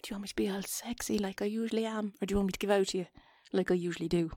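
A woman with an Irish accent playing a flustered, chatty wife in an intimate audio roleplay, halting and coaxing, often dropping into a breathy whisper. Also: mid-range voice, steady tone, dark tone, no echo, studio quality, lightly acted. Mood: nervous, teasing.

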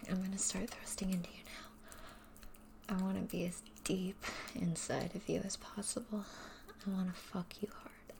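A woman speaking straight to the listener in a soft, breathy, often whispered voice, dominant and teasing in an intimate audio roleplay. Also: medium pitch, little pitch movement, normal speed, background noise.

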